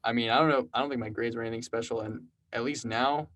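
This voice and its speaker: A man's voice: mid-range voice, quick pace. Twenties guy from South Texas, laid-back interview guest who rambles through stories. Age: 20s. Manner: relaxed, rambling storyteller with many fillers. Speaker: podcast guest, programmer and musician. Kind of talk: casual conversational interview.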